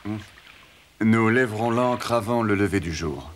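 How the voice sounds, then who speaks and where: An actor voicing a resolute male admiral who gives firm orders in an animated cartoon. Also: lowish voice, a little slow, slight background noise. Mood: calm. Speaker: admiral leading a voyage.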